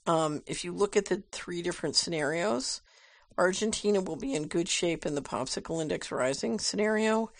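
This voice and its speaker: A woman host reading viewer letters aloud and answering them in a measured, thoughtful way, with frequent ums and uhs. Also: on the low side, dry recording.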